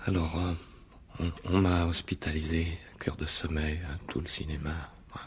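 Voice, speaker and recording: An actor playing an unstable, lovesick stage actor in a radio mystery, husky, brooding and hesitant. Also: male voice, rumbling low, level tone, normal speed, full character voice. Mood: brooding confession. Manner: brooding, hesitant, repeating himself. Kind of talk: French radio mystery drama acting.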